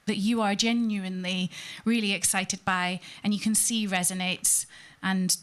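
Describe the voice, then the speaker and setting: A woman's voice: mid-range voice, fairly even intonation, unhurried, fairly bright tone. A woman artistic director speaking on a panel, frank and emphatic while searching for words. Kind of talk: panel remarks. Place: theatre symposium panel.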